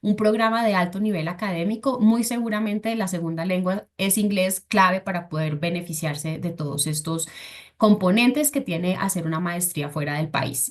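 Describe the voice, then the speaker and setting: Woman explaining patiently in a webinar. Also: on the low side. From Colombia. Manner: explains patiently, with filler "entonces" and "eh". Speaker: webinar presenter for a scholarship foundation.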